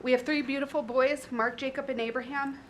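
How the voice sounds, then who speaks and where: High-voiced Chicago woman at podium. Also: fairly even intonation, fairly quick, a little hiss or hum. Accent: Northern US (Chicago). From Chicago, USA. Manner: earnest, emotional. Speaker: public commenter. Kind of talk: prepared public statement. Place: county courtroom. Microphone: podium mic.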